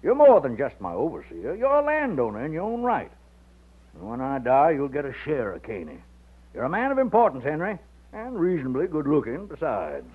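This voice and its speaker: A hearty Texas plantation colonel in his fifties, folksy and commanding. His fairly high voice swings widely with fatherly bluster. It comes through a muffled vintage radio recording. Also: huge pitch swings, a little fast, breathy edge, full character voice. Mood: hearty, fond. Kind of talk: old-time radio drama acting.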